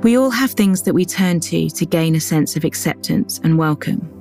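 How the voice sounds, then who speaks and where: A woman giving a calm, measured scripted devotional reading that moves into a guided prayer. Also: low voice, vocal fry, soft background music. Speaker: devotional reader.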